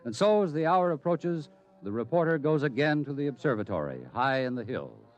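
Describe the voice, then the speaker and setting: Actor as a solemn radio narrator over music. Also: male voice, medium pitch, very animated, fairly quick, close to an everyday voice. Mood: grave, urgent. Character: solemn, ominous male storyteller narrator. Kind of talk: old-time radio dramatic narration. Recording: dull old radio recording.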